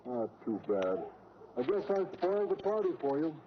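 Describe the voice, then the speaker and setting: Flustered sitcom man, high-pitched, old radio. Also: very animated, moderate pace. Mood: bashful. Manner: theatrical, exclamatory. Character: blustering, easily flustered men at a 1940s sitcom barbecue. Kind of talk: 1940s radio comedy acting. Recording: muffled, noisy old radio recording.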